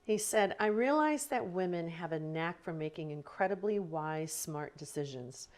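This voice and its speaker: A woman co-hosting a podcast interview, warmly affirming her guest with frequent agreement. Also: fairly low pitch, animated, normal speed. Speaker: podcast co-host. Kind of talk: casual interview chat.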